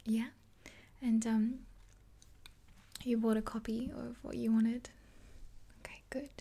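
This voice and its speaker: A young woman speaks in a breathy, mellow whisper right up at the microphone. Her delivery stays even and unhurried, with small hesitations, like a soothing one-sided ASMR roleplay with a friend. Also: fairly high pitch. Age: 20s. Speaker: ASMR video creator playing a makeup artist friend. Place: small indoor room, dark backdrop. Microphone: close to the mic, facing camera.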